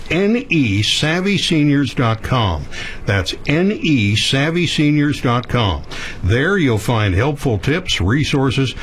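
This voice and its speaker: A man's voice: mid-range voice, husky. A man hosting a radio show in a scripted announcing style.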